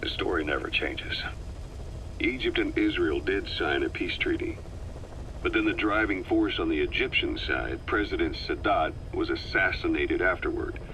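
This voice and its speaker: Seasoned military operative briefing someone in a game, low forceful voice, measured and composed, bit of background noise. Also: male voice, low-quality recording. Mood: matter-of-fact. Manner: measured, matter-of-fact, explanatory. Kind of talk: video game briefing, dramatic acting.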